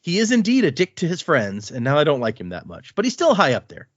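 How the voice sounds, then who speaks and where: A man hosting a podcast, reading a listener's email aloud and adding his own quick asides between lines. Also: a little high, no echo. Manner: reads with lively asides and comments between lines. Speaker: podcast host. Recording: dry, slightly dull lo-fi sound.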